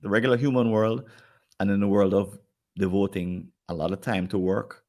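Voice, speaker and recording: Very deep, gravelly Jamaican man. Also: steady tone, moderate pace, darkish tone. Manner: rambling, repeats words while thinking, acts out quoted people. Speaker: podcast co-host. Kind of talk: casual podcast conversation.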